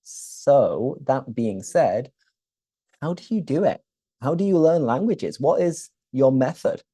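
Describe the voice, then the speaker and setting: A man's voice: mid-range voice, lively intonation, takes it slowly, warm tone, slightly rough, no room sound. A man hosting an interview, asking easygoing follow-up questions. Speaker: host, English teacher.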